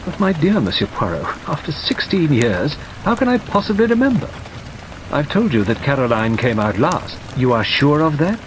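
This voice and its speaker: A man voicing a fussy, gentle older English gentleman who sounds flustered and hesitant, his voice jumping up high. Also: moderate pace, low-quality recording. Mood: fretful. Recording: steady rain noise behind.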